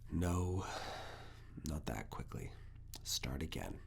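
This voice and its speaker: A man performing an intimate ASMR roleplay as a stern, teasing professor, speaking deliberately in a breathy whisper and low murmur. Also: big pitch swings, darkish tone, slight background noise. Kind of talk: ASMR personal attention roleplay.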